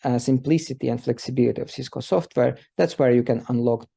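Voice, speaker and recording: A man in his thirties from Ukraine presents a technical session for an audience. His voice is gravelly and mellow, with a low, dark color. He talks loosely, filling gaps with uh and um. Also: quick pace. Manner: loose, filler-heavy speech with many uh and um. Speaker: presenter, systems engineer.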